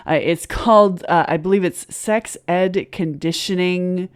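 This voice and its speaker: A woman's voice: on the low side, unhurried, smooth. A middle-aged woman hosting a casual livestream in an informal, joking, sardonic manner, talking into a close desk microphone. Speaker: host of a live reaction show. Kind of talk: casual livestream chat and reaction commentary. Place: small room at home. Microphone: close desk microphone on a boom arm, with headset.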